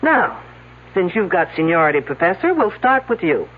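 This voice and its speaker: Sarcastic detective, commanding, animated high voice, radio drama, muffled old broadcast. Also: female voice, normal speed, slightly rough. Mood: mocking, confident. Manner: sardonic, commanding. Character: brash, wisecracking female detective, shrewd and sarcastic.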